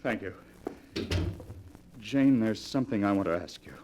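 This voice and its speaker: An actor playing a haunted, fearful young bridegroom confessing intensely, in 1940s radio melodrama style. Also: male voice, lowish voice, lively intonation, moderate pace, dark tone, slight background noise, natural voice. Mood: pleading, uneasy. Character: haunted, fearful young bridegroom recounting his torment to a doctor.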